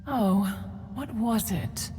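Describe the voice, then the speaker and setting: A woman's voice: fairly high pitch, drawn-out words, big-room sound, background noise. A woman playing a lofty, doting ancient adeptus in a video game, speaking in archaic, formal phrases and calling herself "one". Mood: teasing, haughty. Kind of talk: scripted video game cutscene acting.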